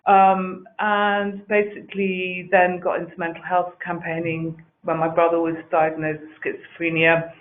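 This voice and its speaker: Woman explaining a workplace report on a video call, earnest, lots of ums, tinny sound. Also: on the low side. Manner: earnest, fluent, frequent ums. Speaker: wellbeing director. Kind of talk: webinar presentation. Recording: tinny webinar audio.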